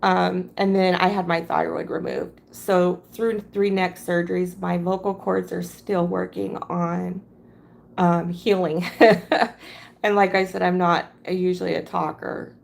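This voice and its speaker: A hoarse woman hosting a casual crafting livestream, talking in a halting, rambling way. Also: lowish voice, flat delivery.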